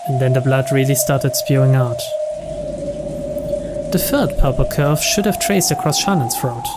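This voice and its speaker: Higher-voiced man narrating dramatically. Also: heavy background noise. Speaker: video game let's play narrator. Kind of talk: dramatic story read-aloud.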